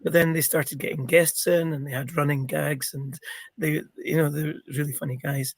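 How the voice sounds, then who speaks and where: A middle-aged man with a croaky, gravelly voice, chatting as an interview guest and rambling with many ums and restarts. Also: fairly high pitch, little pitch movement, moderate pace. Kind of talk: casual interview chat.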